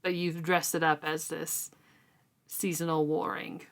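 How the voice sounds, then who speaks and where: A woman with a slightly low voice talks through a novel at an unhurried, leisurely pace. Her delivery is casual and chatty, full of fillers as she recaps and reacts. It sounds like a relaxed book club chat between friends. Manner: chatty, relaxed, often says um and like. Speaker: book club podcast co-host.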